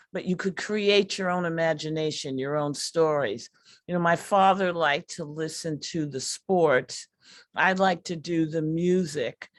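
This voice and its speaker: Woman, very slow pace, medium pitch, dry close sound, halting reflective panel talk. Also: no room sound. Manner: halting, pausing between short phrases. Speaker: workshop artist on a panel.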